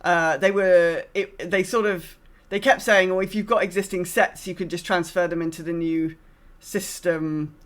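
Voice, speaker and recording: A woman's voice: fairly low pitch, steady tone, moderate pace. A woman co-hosting a podcast, chatting casually and discursively as she thinks aloud. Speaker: podcast co-host. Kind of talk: casual gaming podcast chat.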